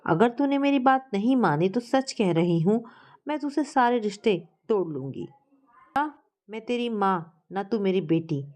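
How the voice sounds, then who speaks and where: A woman's voice: medium pitch, lively intonation, measured pace, a little mellow, natural voice. A woman voicing a stubborn, domineering middle-aged mother in a family story, scolding and imperious. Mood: furious ultimatum.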